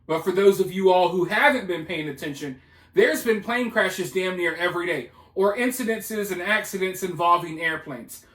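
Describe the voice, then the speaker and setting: A high-pitched male commentator talks through the news in a roomy, echoing space. He is casual and opinionated, leaning on colloquial phrasing and repetition to drive points home. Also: normal speed, fairly bright tone. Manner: emphatic, colloquial, repeats phrases for effect. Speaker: commentator hosting a news video.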